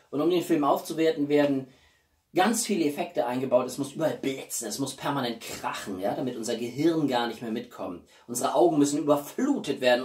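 A man talks casually to the camera like a home film reviewer. His delivery is colloquial, opinionated and emphatic, at a middling pitch. The microphone sits some way off, so the room's echo is clearly heard around his voice. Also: a little mellow. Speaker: YouTube film reviewer and channel host. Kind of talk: casual chat to camera about a film collection. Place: small room at home, indoors. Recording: roomy, distant microphone.

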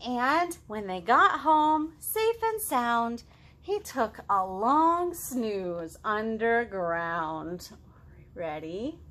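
A woman in her thirties hosting a children's storytime, reading and chatting to young kids in an expressive, sing-song, exaggerated way. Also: a little high, extremely slow. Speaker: children's librarian, storytime host. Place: living room at home, indoors.

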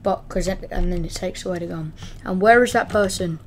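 A woman with a high-pitched voice, co-playing a horror game and commenting, often shrieking in fright. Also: fairly quick. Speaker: co-player. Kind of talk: casual gaming commentary.

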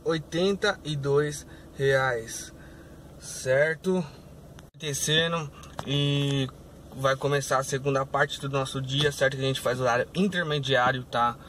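A man's voice: mid-range voice, measured pace, a bit bright. A young man, vlogging from his car as a rideshare driver and checking in with his viewers in an upbeat way. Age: young adult. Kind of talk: casual vlog to camera. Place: inside a car, day and night. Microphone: phone camera on dashboard. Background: faint car and road noise.

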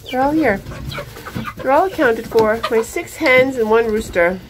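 A young woman chatting casually while she feeds her backyard chickens, rambling and cooing at them in a high voice, recorded on a handheld phone outdoors. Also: lively intonation, unhurried, noisy background. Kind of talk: casual off-the-cuff vlog chat.

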